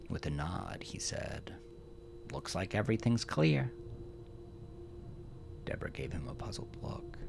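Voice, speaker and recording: A man's voice: sits low, noisy background. A man giving a scripted, dramatic narration of horror fiction.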